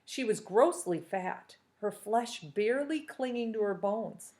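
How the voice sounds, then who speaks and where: A woman reading a novel aloud chapter by chapter, with steady storytelling. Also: medium pitch, measured pace.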